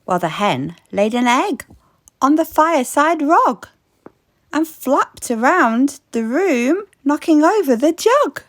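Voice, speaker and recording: A woman reading a rhyming picture book aloud as an expressive storyteller. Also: high voice, slow, no echo, studio quality.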